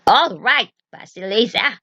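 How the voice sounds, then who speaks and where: Slow, menacing old witch voiced by a woman. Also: a little high, animated, pushed and bright, dry recording, studio quality, full character voice. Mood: grudging, stern. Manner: slow, drawn-out, imperious delivery. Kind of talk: children's folk tale reading.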